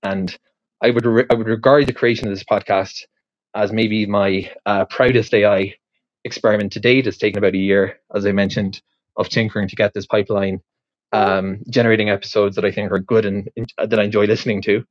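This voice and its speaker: A man's voice: lowish voice, little pitch movement, a little fast, no room sound, highs cut off. A man with an Irish accent, talking through a question in a loose, rambling way, full of ums and restarts. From Ireland. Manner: rambling, thinking aloud with many fillers and restarts. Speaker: podcast host posing a question. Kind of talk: casual spoken monologue.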